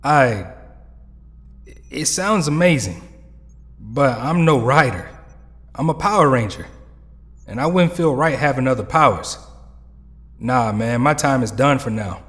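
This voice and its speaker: A male actor plays a joking, loyal young ex-hero in an audio drama. He talks in a relaxed, slangy way at a medium pitch. A long reverb surrounds him. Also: measured pace, long pauses. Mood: sincere, regretful. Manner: laid-back, slangy talk.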